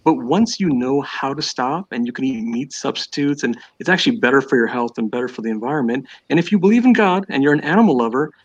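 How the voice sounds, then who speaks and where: A man talks quickly and insistently over a muffled, lo-fi call line. He repeats himself as he presses his point in a heated debate. His pitch sits in a middle range. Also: expressive. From United States. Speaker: caller. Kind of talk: heated live-stream debate.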